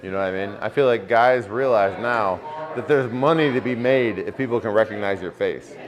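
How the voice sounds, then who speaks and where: A man in his forties chatting casually as a podcast co-host. He is laid-back and joking, and he walks through game plays step by step at an unhurried pace. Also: on the low side, highs cut off, a crowd in the background. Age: 40s. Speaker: podcast co-host, former football player. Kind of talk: casual sports chat.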